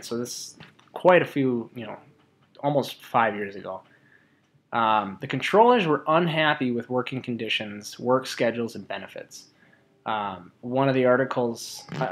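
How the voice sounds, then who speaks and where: A man presents informally in a colloquial way, with a halting, conversational delivery. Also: medium pitch, a bit bright.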